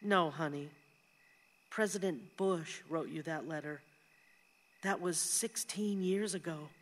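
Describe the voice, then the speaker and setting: A woman's voice: low voice, slow pace. An actress playing a tender, frank mother who looks after her grown son in a radio play. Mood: tender, concerned. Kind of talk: conversational stage-style radio drama acting. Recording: reverberant hall-like room.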